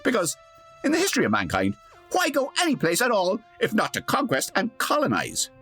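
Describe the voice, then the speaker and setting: A pompous, wry radio show announcer with a high voice that swoops dramatically. He narrates theatrically in an old-time radio comedy, slipping in tongue-in-cheek asides over music. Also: wildly swooping pitch, fairly quick, fairly bright tone, breathy edge, heavily acted. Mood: mock-solemn, wry.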